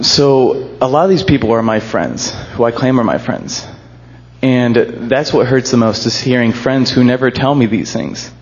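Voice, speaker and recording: A young college-age man with a booming voice speaks into a handheld mic in a church hall. He sounds earnest and emotional, slightly shaky, and he jokes about himself now and then. The recording is muffled and lo-fi. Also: darkish tone. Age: young adult. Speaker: college student sharing a testimony. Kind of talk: church group testimony.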